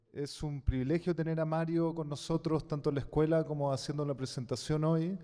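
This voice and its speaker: A man's voice: mid-range voice, warm tone, smooth. Man introducing a speaker in a hall. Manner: measured, with frequent fillers. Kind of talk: formal introduction. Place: lecture hall.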